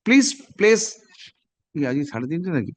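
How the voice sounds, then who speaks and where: A man's voice: high-pitched, very animated, very fast. A man with an Indian Bengali accent speaking as a judge in a court hearing, insistent and prone to repeating his phrases. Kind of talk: courtroom exchange and reading from a note.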